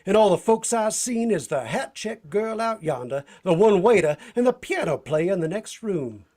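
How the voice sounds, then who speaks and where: Male actor as brash US detective, high-pitched, swooping delivery, folksy grumbling, vintage radio drama. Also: sing-song, moderate pace, a little mellow. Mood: curious, grumbling. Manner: folksy, drawling slang, complaining. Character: brash, folksy American detective, restless and needling.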